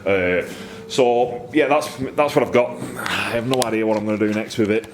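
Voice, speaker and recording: A man's voice: lowish voice, normal speed, background noise. A man with a Northern English accent talking casually and off the cuff in a workshop video, rambling and thinking aloud in colloquial speech. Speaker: custom exhaust fabricator presenting his own workshop video. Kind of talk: casual, unscripted workshop vlog.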